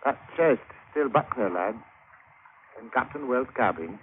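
An actor playing a scheming, commanding first mate who talks in a conspiratorial, insinuating way in a 1940s radio drama. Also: male voice, medium pitch, lively intonation, measured pace, natural voice. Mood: sly, conspiratorial. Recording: tinny vintage radio with faint noise.

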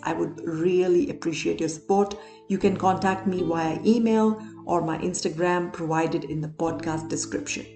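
A woman hosting a podcast with a slightly low voice, reading her script earnestly and speaking straight to the listener. She sounds like she's in an echoey room, with soft music underneath. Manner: earnest, direct address to listener. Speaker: podcast host.

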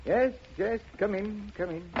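A man's voice: sits high, measured pace. An actor playing an indulgent, hesitant older father who fusses and repeats himself in a radio drama. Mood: welcoming. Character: indulgent, flustered older father, a retired banker. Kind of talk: 1950s radio drama acting. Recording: muffled, noisy old radio recording.

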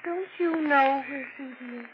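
A woman's voice: high voice, normal speed, lightly acted. An actress playing a coolly scheming, falsely solicitous woman in a 1940s radio mystery, with an insistent manner. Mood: cold, accusing. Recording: very noisy, tinny old radio recording.

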